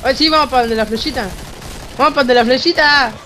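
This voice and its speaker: A man's voice: very high voice, fast talker, sharp tone, slight background noise. A playful Chilean male chatting in slang with teammates during an online game. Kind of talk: casual chat while playing an online game. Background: video game gunfire.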